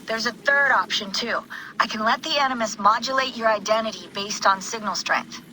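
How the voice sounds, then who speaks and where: An actress playing a focused young tech operator in a science fiction game, talking in a brisk, matter-of-fact way. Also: female voice, fairly high pitch, pushed and bright, slight background noise, low-quality recording. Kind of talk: naturalistic video game cutscene acting.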